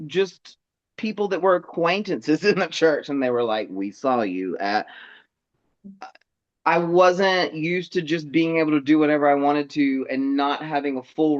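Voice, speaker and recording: Thirties man, storyteller guest, higher voice, muffled lo-fi sound. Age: 30s. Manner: animated, laughs often, mimics voices in quotes. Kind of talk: casual storytelling chat.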